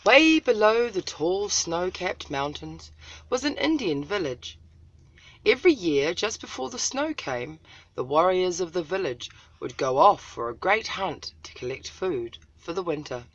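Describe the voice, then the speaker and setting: A woman from New Zealand reading a children's story aloud as host, dramatic, with deliberate pauses and emphasis. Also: fairly low pitch, sing-song, highs cut off.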